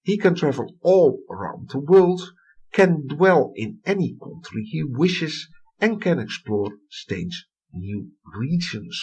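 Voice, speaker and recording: A middle-aged man from the Netherlands with a Dutch accent, giving a recorded history lecture and reading a prepared text with deliberate emphasis. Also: mid-range voice, darkish tone, breathy edge, poor audio.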